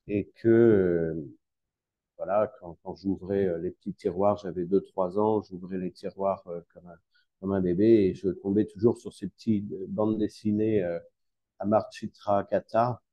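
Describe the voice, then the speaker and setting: Deep-voiced French man, hesitant. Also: dark tone, dry recording, highs cut off. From northern France, Lille. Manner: hesitant, many fillers and restarts. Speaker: guest, teacher. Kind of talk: conversational interview.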